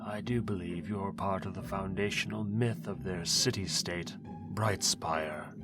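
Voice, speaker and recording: Pompous chained necromancer, played by a man: very low voice, drawn-out delivery, wheedling, over clear music. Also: fairly even intonation. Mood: scheming, persuasive. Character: ancient bound male necromancer, pompous and wheedling. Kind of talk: ASMR audio roleplay.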